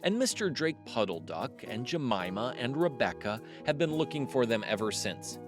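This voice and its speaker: Animated man reading a children's storybook. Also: mid-range voice, moderate pace, over music. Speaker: storyteller, host of a read-aloud series.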